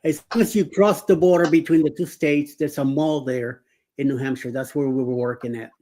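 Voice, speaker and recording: A man in his sixties hosting a relaxed live-stream chat. He wanders through his stories with frequent fillers and self-corrections, laughing easily as he goes. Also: fairly high pitch, fairly quick. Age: 60s. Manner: rambling, many fillers and restarts, laughs often. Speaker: show host.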